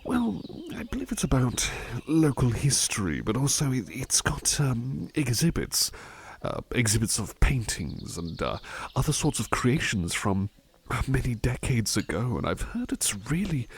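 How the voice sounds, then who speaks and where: A man playing a devoted, polite butler in an ASMR roleplay, speaking tenderly and hesitantly, with soft reassurance. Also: medium pitch, sing-song, measured pace, gravelly, slight background noise. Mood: attentive, courteous. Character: devoted, gentle, polite male butler companion.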